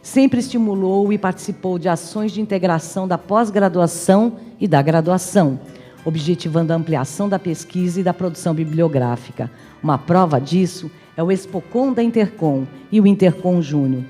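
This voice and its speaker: A Brazilian woman reading a formal prepared tribute speech in a measured, enumerating manner, as an academic addressing a conference. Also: lowish voice, mellow. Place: large hall at an academic conference. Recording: reverberant hall, music in the background.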